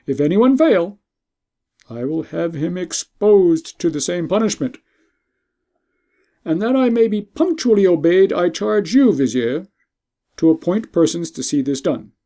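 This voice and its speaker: A man's voice: high voice, lively intonation, measured pace, pauses often, warm tone. A man voicing a stern, angry male emperor who hands down a harsh sentence in a storybook reading. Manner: commanding, imperious.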